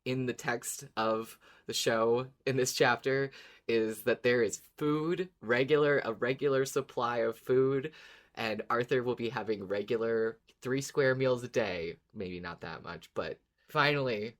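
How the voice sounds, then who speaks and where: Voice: medium pitch, unhurried. A podcast co-host chatting informally in a casual fan discussion, agreeable and thinking aloud. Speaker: podcast co-host discussing a horror audio drama.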